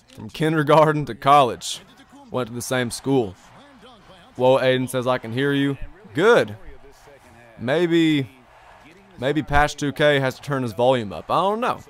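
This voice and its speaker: A young man live-streaming a basketball video game, talking upbeat and repeating himself as he reads his chat, his voice a little strained from long streaming. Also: medium pitch. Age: young adult. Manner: upbeat, chatty, repeats his own phrases. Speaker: gaming streamer. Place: bedroom gaming setup, indoors.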